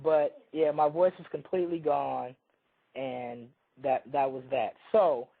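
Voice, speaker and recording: A man co-hosting a radio talk show, telling a long, rambling story while hoarse from a cold. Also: a little high, fairly even intonation, a little slow, narrow, tinny audio. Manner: rambling, self-interrupting storyteller. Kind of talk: casual chat, storytelling.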